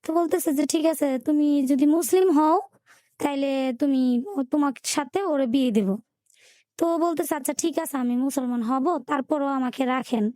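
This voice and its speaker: A woman with a Bangladeshi Bengali accent telling her life story in an interview, in a colloquial, halting way with repeated filler words. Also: sits high, warm tone, no echo. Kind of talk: personal storytelling in a radio interview.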